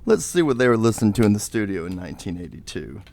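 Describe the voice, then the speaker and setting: Man playing a creaky, offhand radio DJ. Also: on the low side, very animated, fast talker, a little mellow. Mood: laid-back. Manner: slack, rambling, offhand. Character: laid-back male late-night freak radio show DJ. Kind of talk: radio DJ patter in audio comedy.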